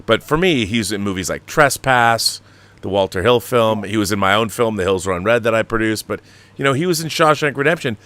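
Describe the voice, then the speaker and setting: A man with a low, sharp-edged voice talks casually as a show host. He rambles through explanations in animated, slangy language. The sound is dry and close. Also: a little fast, dry recording. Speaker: show host and audio drama director. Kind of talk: casual livestream chat.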